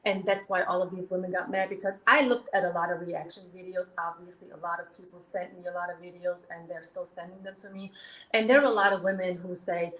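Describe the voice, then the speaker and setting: Bright-voiced woman in an echoey room. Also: on the low side, steady tone, fairly quick, a little hiss or hum. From Germany. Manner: earnest, explains at length with many "you know"s. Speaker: guest. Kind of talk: candid interview talk.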